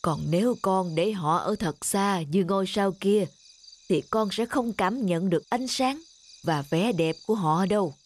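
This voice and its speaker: A woman voicing a grave, kindly elderly father in a cartoon, giving measured counsel. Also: medium pitch, a little hiss or hum, lightly acted. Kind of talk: scripted cartoon dialogue.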